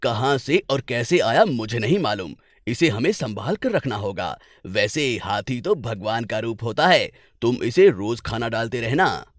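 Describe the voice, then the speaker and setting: A man's voice: mid-range voice, big pitch swings, a little slow, few pauses, no echo, clean studio sound. A man voicing a rich village master in a cartoon tale, kindly at heart but bossy, scolding and lecturing. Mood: pleased, instructing.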